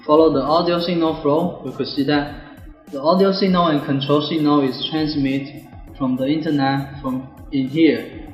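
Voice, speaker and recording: A man's voice: fairly high pitch, fairly even intonation. Young Chinese-accented man in an echoey room. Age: 20s. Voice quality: non-native English with halting grammar. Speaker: product demonstrator. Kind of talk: product demonstration. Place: classroom-like indoor room with desks. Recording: echoey room, music behind.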